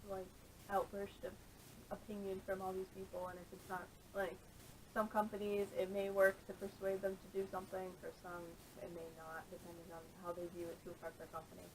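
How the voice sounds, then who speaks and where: Woman, mid pitch, flat intonation, casual panel chat, tentative halting phrasing, camera audio. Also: low-quality recording. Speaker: podcast panelist. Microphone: camera microphone. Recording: camera audio, faint noise.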